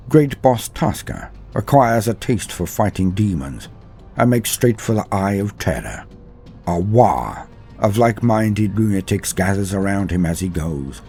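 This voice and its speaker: A man giving a measured, dramatic scripted narration of a fictional history. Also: sits low, mellow. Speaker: lore narrator.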